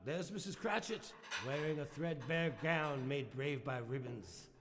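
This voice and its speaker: A man playing a huge, jolly male Christmas spirit with a booming, hearty voice in a radio play. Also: measured pace, background noise, natural voice. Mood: gentle. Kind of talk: radio drama acting. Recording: radio play with music underneath.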